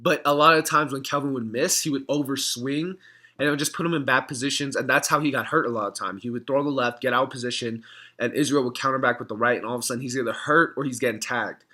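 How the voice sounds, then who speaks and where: A young man with a hoarse voice, talking casually to camera in a reaction vlog, rambling, clearing his throat often. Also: mid-range voice, fast talker, bright, forceful tone. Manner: rambling, frequent throat clearing and filler words. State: hoarse from screaming. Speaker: MMA fan vlogger.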